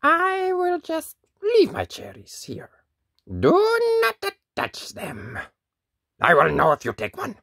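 A woman voicing a small, grumpy, possessive bat in a theatrical, drawn-out way for a children's picture book. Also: medium pitch, wildly swooping pitch, gravelly, fairly bright tone, husky, dry recording, studio quality, voice pushed up, exaggerated voice. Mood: possessive, threatening. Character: grumpy, dramatic, possessive little cartoon bat.

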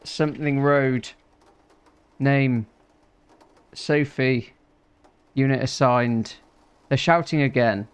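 A man's voice: mid-range voice, takes it slowly, pauses often, clear, resonant tone. A British man in his thirties giving casual let's play commentary and reading out dispatcher lines. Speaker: gaming video host. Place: small indoor room.

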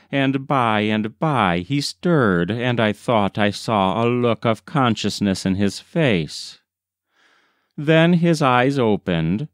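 A man reading a literary short story aloud as scripted narration, in a measured, deliberate storytelling manner. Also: fairly low pitch, clear, resonant tone, dry recording, studio quality. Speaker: audiobook reader.

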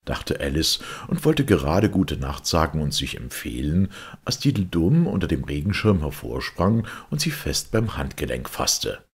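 A man reading a story aloud as an expressive audiobook narrator. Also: a bass voice, moderate pace, husky. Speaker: audiobook reader.

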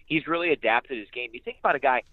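A man's voice: mid-range voice, fast talker, no echo. A man giving conversational sports analysis as a radio announcer guest, emphatic and repeating phrases for emphasis. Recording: muffled, band-limited phone-like radio sound.

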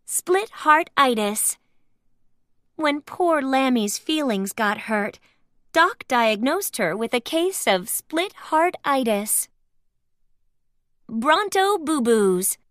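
A high-voiced woman reads a children's storybook aloud as narrator. Her delivery is slow and very lively, with expressive, careful enunciation suited to young listeners. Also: dry recording, studio quality.

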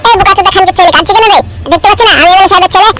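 High-pitched, cheeky cartoon boy. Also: steady tone, racing. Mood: brash. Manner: brash, insulting. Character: cheeky, foul-mouthed little boy. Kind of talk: comic cartoon dialogue.

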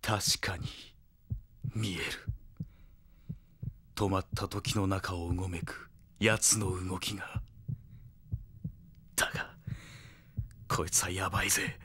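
An actor playing a tough young male delinquent hero in an anime audio drama, gruff and terse, with rough street talk and a husky voice. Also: low-pitched, fairly even intonation, slow, long pauses, pushed and bright, slight background noise, exaggerated voice. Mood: wary, determined.

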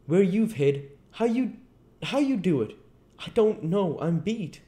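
A high-pitched, wildly swooping voice for an indignant, baffled tramp in a storybook, acted out by a man. He exclaims in disbelief and talks in rough, colloquial phrases. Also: lively intonation, a little slow, warm tone. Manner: exclaiming, incredulous, colloquial speech. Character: bewildered, indignant working-class tramp, adult man.

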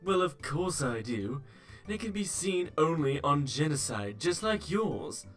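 Cartoon robot star, male actor: vain, theatrical, unhurried and very animated, faint music behind. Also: fairly high pitch. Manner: theatrical, indignant. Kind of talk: fan comic dub acting.

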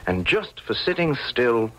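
An actor playing an imperious young painter, obsessively lovesick, abrupt and commanding, in old radio drama style. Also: male voice, medium pitch, sing-song, measured pace, fairly bright tone, highs cut off. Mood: brusque, commanding.

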